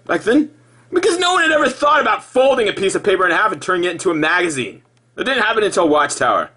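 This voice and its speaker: Sarcastic high-pitched man, animated ranting. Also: slightly rough. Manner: sarcastic, exasperated, mocking; profane. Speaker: video commentator. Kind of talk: improvised sarcastic rant.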